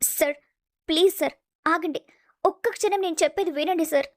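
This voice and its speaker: A woman's voice: very high voice, fairly quick, no room sound. A woman playing an earnest, determined young engineer who pleads her case in an audio story. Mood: urgent, determined. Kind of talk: dramatised audio story acting.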